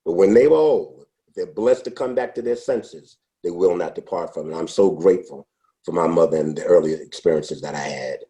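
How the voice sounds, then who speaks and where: A man in his sixties, a podcast interview guest, telling his life story in an unhurried, reflective way with frequent restarts. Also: low voice, dark tone. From United States. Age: 60s. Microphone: podcast microphone.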